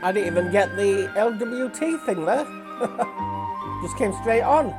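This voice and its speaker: A woman's voice: medium pitch, lively intonation, slow, over music. A woman hosting a reaction video with a blocked nose, chatting casually and apologetically to viewers. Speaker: reaction channel host.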